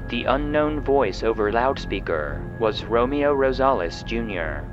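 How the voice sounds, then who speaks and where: A man reading scripted narration and credits as a show's narrator, in a formal, measured announcing style. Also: medium pitch, dull audio. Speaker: producer and narrator. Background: music.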